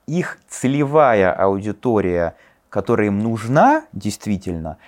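A man with a somewhat low voice talks through ideas on a casual podcast. He thinks aloud, stopping and restarting with fillers as he builds his point. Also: big pitch swings, a little slow. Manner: thoughtful, hesitant, many fillers. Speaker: podcast co-host. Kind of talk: casual discussion.

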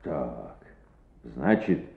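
Older official, hugely varied intonation. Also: male voice, very deep voice, sing-song, extremely slow, gravelly, exaggerated voice. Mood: attentive. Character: important grey-haired education official, attentive and patient. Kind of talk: Soviet radio play acting. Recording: tinny, echoey old radio play recording.

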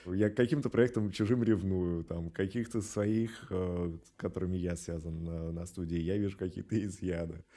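A middle-aged man giving thoughtful interview answers in a reflective, measured way with hesitant pauses. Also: low voice, fairly even intonation, warm tone. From Russia. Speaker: animation studio director, interview guest.

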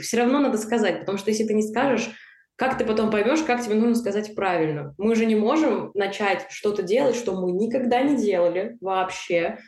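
A woman's voice: mid-range voice, a little mellow, roomy sound. A woman who teaches German, talking emphatically in a casual podcast conversation.

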